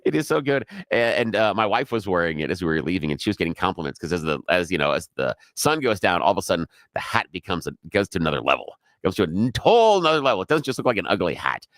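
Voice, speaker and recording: A man's voice: low-pitched, quick pace, hardly any pauses, no room sound, studio quality. A middle-aged man co-hosting a radio talk show, telling stories in casual chat with a big, projecting voice and acting out the people he quotes. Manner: animated storyteller who acts out quoted voices. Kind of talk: casual on-air chat and storytelling.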